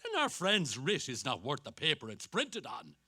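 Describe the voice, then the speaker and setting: A man's voice: on the high side, wildly swooping pitch, moderate pace, sharp tone, no echo, exaggerated voice. An actor playing a big, bluff, assured Dublin man in an emphatic staged reading of a novel. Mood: blustering, assured.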